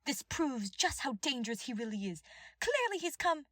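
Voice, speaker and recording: A woman puts on a villainous court advisor, frazzled and accusatory. Her voice is pitched high and shouted with venom, over a husky grain, in a dry audiobook recording. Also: very animated, normal speed, dry recording, exaggerated voice. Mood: frazzled accusing.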